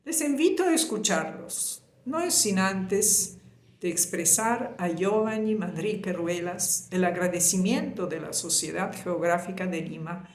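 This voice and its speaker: A woman geographer giving a formal, courteous scripted introduction to a lecture. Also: fairly low pitch. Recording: echoey room sound.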